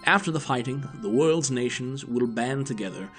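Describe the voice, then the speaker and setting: A man's voice: medium pitch, animated, normal speed, fairly bright tone, soft background music, close to an everyday voice. A man playing an aloof, analytical detective in an audio drama, lecturing in a detached, precise way.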